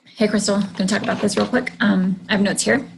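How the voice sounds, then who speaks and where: A woman's voice: medium pitch, flat delivery, quick pace, creaky, breathy edge, rough recording. A woman hosting a casual livestream, chatty, greeting viewers, and losing her voice. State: losing her voice, clearing her throat. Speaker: host of a livestream.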